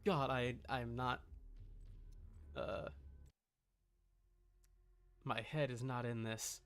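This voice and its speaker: A man chatting casually on a game livestream, talking to himself in a halting, muttering way. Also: medium pitch, fairly even intonation, fairly bright tone, a little hiss or hum. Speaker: streamer.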